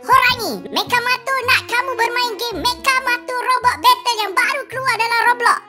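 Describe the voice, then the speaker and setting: A man's voice: extremely high pitch, moderate pace, bright, forceful tone, faint music behind, pitched above the performer's own voice, exaggerated voice. A man voicing an earnest, childlike boy superhero with a Malaysian accent in a game roleplay. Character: squeaky earnest boy robot-hero, busy saving townspeople.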